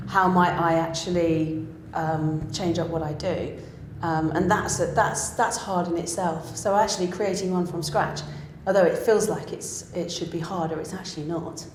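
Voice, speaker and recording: A woman's voice: fairly low pitch, steady tone, moderate pace, few pauses. A middle-aged British woman who writes and directs pantomime, speaking in an interview in a reflective way with many restarts. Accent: British English. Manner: reflective, with restarts and self-questioning. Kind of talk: interview chat. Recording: echoey room with faint noise.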